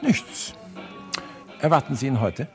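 A man playing a dogged, dry police detective in a radio crime drama, terse and probing with a raspy voice. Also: medium pitch, big pitch swings, slow pace, faint music behind. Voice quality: raspy, creaky.

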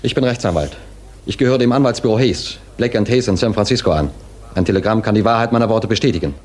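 Man acting a fast, declamatory lawyer. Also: fairly low pitch, a little mellow, close to an everyday voice. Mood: solemn. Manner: formal, declamatory. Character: earnest, formal male lawyer defending his sister. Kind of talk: 1940s German radio courtroom drama.

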